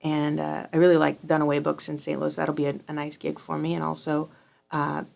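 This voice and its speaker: Low, gravelly-voiced woman musician. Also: monotone, fairly quick, a little mellow. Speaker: children's musician guest. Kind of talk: casual radio interview.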